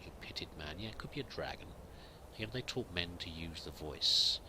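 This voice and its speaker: A man's voice: low-pitched, animated, brisk, noisy background. A man giving in-character let's play commentary, musing aloud and muttering to himself as he goes. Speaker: host of a roleplay let's play.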